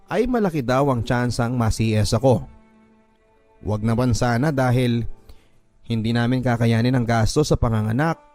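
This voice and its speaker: A Filipino man narrates a scripted radio drama in the first person. His voice sits at an average male pitch and his delivery is earnest and sentimental. Music plays behind him. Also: darkish tone. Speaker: radio drama narrator reading a listener's letter. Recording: music bed under the voice. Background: background music.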